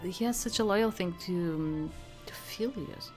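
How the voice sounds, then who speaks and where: A woman's voice: mid-range voice, measured pace, faint music behind. A woman giving casual, rambling commentary as she plays a game, hesitant and often trailing off. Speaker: let's play host. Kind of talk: casual gameplay commentary with read-aloud dialogue.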